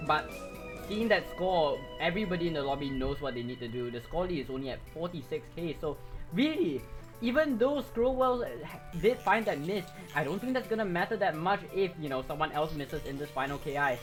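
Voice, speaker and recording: This man is a live esports caster who gets excitable at the big moments. His voice is wearing hoarse from the shouting. Game music plays clearly underneath him. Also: on the high side, fairly quick, poor audio. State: going hoarse. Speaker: esports commentator.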